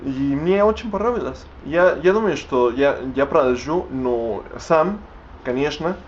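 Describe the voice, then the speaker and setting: Halting man, dull recording. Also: fairly high pitch, animated, a little hiss or hum. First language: Spanish. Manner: halting, many restarts and fillers while searching for words. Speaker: language learner vlogging. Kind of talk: unscripted vlog in a learned language.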